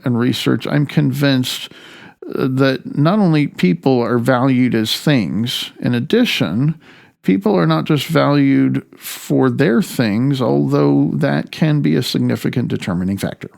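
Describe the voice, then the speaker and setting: A middle-aged man reading his own nonfiction audiobook in a deliberate, measured manner with drawn-out emphasis. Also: mid-range voice, creaky, a little mellow.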